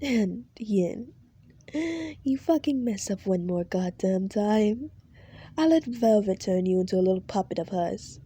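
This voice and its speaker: A woman voicing a cruel, hot-tempered male boss who shouts and swears. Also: medium pitch, lively intonation, a little slow, slightly rough. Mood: menacing.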